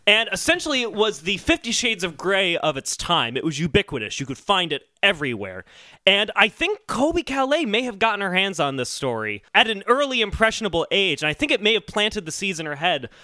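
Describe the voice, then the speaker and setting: Animated, bright-voiced man hosting comedy. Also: high voice, a little fast, dry recording, clean studio sound. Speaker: comedy podcast host. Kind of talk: casual comedic banter and mock-serious story reading.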